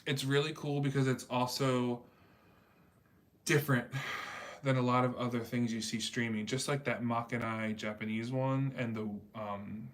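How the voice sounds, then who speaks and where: A man's voice: mid-range voice, steady tone, moderate pace. Chatty rambling man host. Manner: rambling and chatty, many fillers. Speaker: livestream host reading chat. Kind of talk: casual chat.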